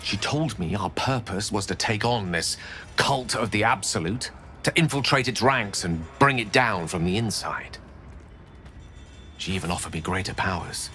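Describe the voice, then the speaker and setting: An actor playing an urbane, calculating scholar in a video game, eloquent and formal. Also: male voice, on the low side, bright, forceful tone. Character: urbane, scholarly man, calculating and self-assured. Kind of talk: video game character acting.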